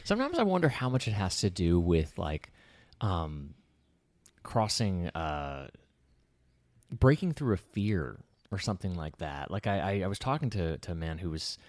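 A man with a low, deep voice chats casually as a show co-host. He thinks out loud, circling back and restarting phrases. The sound is close and dry, with no room around it. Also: sing-song, moderate pace, no echo. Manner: reflective, restarts sentences while thinking aloud. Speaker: podcast co-host and public speaker. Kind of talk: casual conversational chat.

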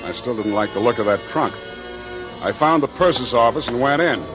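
An actor playing a wry, hard-boiled private detective, wisecracking in 1940s radio noir style. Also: male voice, medium pitch, moderate pace, lightly acted. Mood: wry, narrating. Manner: deadpan, wisecracking. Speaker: narrator and lead. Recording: dull old radio recording, music bed.

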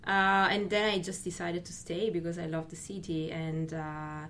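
A woman's voice: lowish voice, little pitch movement, a bit bright, slight background noise. A woman from Italy, a creative recruiter, speaking thoughtfully in a casual interview. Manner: thoughtful, measured, with fillers.

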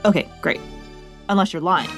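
An American woman presents as a podcast host with a lively, swinging delivery in a slightly low register. She talks directly to listeners in scripted but chatty lines with playful asides. Some music sits under her voice. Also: normal speed.

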